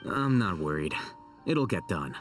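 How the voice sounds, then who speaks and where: A man voicing an aloof, dry-witted scholar in a video game, speaking in a deadpan, measured, formal way. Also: deep voice, far from the mic, faint music behind. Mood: wry, unbothered. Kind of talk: scripted video game voice acting.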